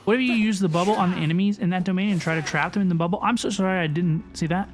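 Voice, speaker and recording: A woman's voice: lowish voice, very fast. A woman hosting a gaming livestream, chatting casually and often repeating phrases for emphasis. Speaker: livestream host. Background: game music.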